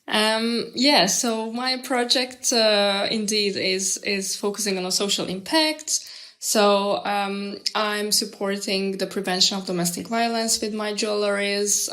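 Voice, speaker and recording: A woman in her thirties from Hungary, a guest in an interview, answering with many hesitant pauses. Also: medium pitch, fairly bright tone. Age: 30s.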